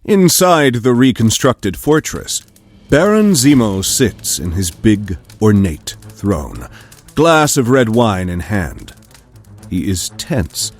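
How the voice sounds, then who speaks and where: A man's voice: fairly low pitch, big pitch swings, takes it slowly. A man narrating an audio drama in a scripted, dramatic storytelling style. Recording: music behind the voice.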